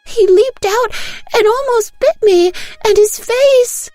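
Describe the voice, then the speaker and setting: A little girl played by a grown woman pleads in a high, tearful wail. She sounds small and badly frightened, as in a dark audio fairy tale, with music underneath. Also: slow, dry recording. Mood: wailing, panicked. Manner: wailing, pleading. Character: frightened little girl, sobbing to her mother.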